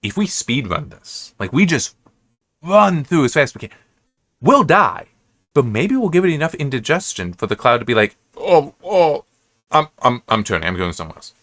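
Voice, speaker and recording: Bright-voiced American man, rambling casually. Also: medium pitch, big pitch swings, no room sound, clean studio sound. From United States. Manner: halting, self-correcting, restarts and digresses often. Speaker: reviewer hosting an episode-by-episode analysis. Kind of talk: rambling, casual, unscripted commentary.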